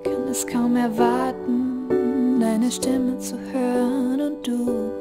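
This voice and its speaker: Female ballad vocalist, high register, drawn-out slow phrasing, full musical backing. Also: little pitch movement, loud music behind the voice. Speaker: singer. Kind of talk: slow sung pop ballad. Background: musical accompaniment.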